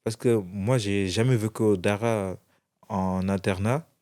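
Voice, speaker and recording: A man's voice: lowish voice, fairly even intonation, unhurried, mellow. A young man from France, a guest in an interview, telling his story casually with many restarts. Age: young adult.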